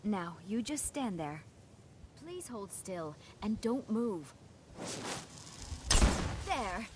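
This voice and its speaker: An eager young apprentice sorceress from a fantasy game. Her voice has an average female pitch and an earnest, courteous, awkwardly hesitant delivery. There is slight noise behind her. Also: sing-song, extremely slow. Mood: flustered, eager. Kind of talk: fantasy video game character acting.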